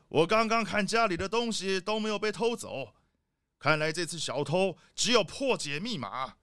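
Cartoon rich leopard boss, high-pitched, forceful, sneering, blustery, radio play. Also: male voice, lively intonation, a little slow, clear, resonant tone, no echo, clean studio sound. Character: arrogant, short-tempered rich leopard boss and father.

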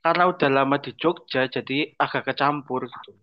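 A man's voice: mid-range voice, brisk. A young man, chatting casually as a podcast co-host with short, easygoing, teasing replies. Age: young adult. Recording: dull lo-fi podcast audio.

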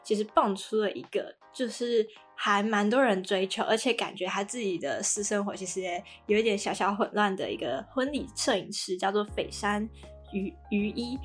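A woman's voice: medium pitch, normal speed, soft background music. A woman with a Taiwanese Mandarin accent co-hosting a podcast, casually recapping a drama in a chatty, explanatory way. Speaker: podcast co-host.

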